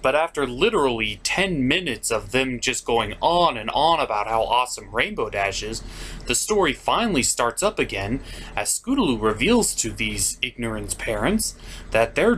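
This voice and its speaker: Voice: mid-range voice, fairly bright tone, slight background noise. A comedic review host who recaps and rants in a sarcastic, exasperated way with theatrical emphasis. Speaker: host of a cartoon review show.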